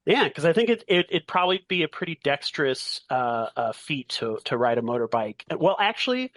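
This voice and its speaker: A man running a tabletop roleplaying game, narrating haltingly and thinking aloud as he goes. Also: fairly high pitch, sing-song, fairly bright tone, no room sound. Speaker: game master.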